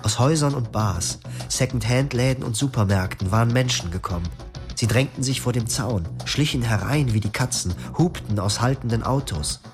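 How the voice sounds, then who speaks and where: An actor in his twenties playing a brooding young husband who narrates calmly and reflectively, in a radio play with music underneath. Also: mid-range voice, normal speed, lightly acted. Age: 20s. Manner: calm, reflective, poetic narration.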